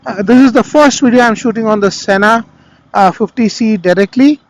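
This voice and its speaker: A man's voice: sits high, a little fast, rough recording. A man with an Indian accent gives a casual, rambling product review in a conversational way, speaking into a helmet intercom microphone. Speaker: motovlogger reviewing gear. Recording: helmet intercom mic with vehicle noise.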